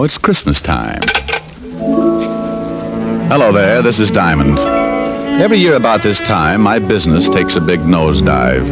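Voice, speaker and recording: An actor playing a wisecracking male private detective, narrating a 1950s radio drama in a wry, easygoing way. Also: lowish voice. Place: radio studio.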